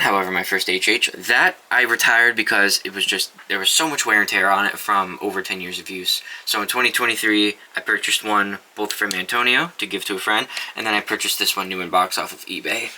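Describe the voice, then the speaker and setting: A man's voice: deep voice, bright, forceful tone, a little hiss or hum, rough recording. A young man casually reviewing his collection of weather radios, offhand and swearing now and then, with many ums and restarts. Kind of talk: casual show-and-tell review.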